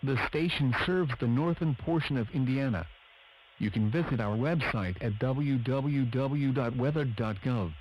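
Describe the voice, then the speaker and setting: A man with a flat, synthetic-sounding delivery reads formal scripted announcements as a weather radio broadcaster. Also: mid-range voice, measured pace, slight background noise, poor audio.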